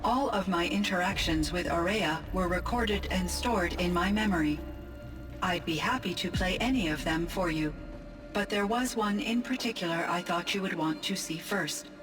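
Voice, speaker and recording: Woman playing a calm, low-voiced female AI. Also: close to an everyday voice. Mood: composed, formal. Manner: measured, formal, composed. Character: calm female artificial intelligence, gentle, thoughtful, grieving. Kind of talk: scripted video game dialogue. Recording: music behind the voice.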